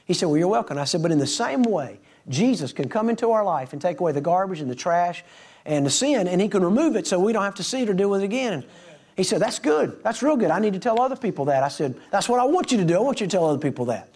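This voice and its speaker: A man's voice: high voice, big pitch swings, quick pace, darkish tone. A middle-aged man, a preacher telling folksy stories to his congregation and acting out the dialogue. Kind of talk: storytelling sermon to a congregation.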